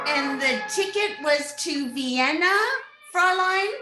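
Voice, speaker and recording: A woman's voice: high-pitched, slow pace, pushed and bright, full character voice. A woman playing a formal continental booking clerk in an old-time radio drama. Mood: businesslike. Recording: roomy home audio, music behind.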